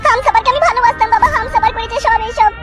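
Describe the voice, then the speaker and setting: A plaintive young village daughter speaks. She rattles along earnestly in cartoon melodrama, over loud music. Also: extremely high pitch, fairly even intonation, racing. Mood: pleading, remorseful. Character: tearful, betrayed young unmarried village daughter. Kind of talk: cartoon comedy-drama voice acting.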